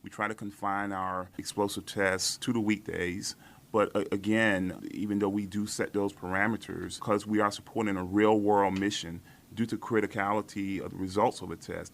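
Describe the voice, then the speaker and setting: A man speaking as an army commander in an interview, giving formal, measured explanations in official wording. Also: deep voice, flat delivery.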